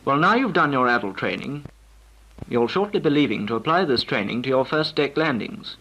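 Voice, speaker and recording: An actor playing a formal, precise British naval flying instructor lecturing trainees in a 1940s instructional film. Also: male voice, medium pitch, lively intonation, fairly quick, sharp tone, husky, heavily acted. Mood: formal, instructive. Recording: noisy, crackly 1940s film soundtrack.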